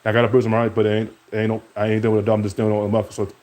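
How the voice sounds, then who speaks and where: A man's voice: monotone, racing, darkish tone. A young man streaming, rambling from topic to topic in casual talk and speaking to an absent rival. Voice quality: deep voice.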